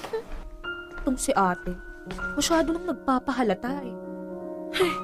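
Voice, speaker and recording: A woman's voice: high-pitched, very animated, measured pace, loud music behind the voice. A woman playing a curious, persistent, slightly pouty younger sister in a Filipino radio drama. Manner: inquisitive, pleading, pouty.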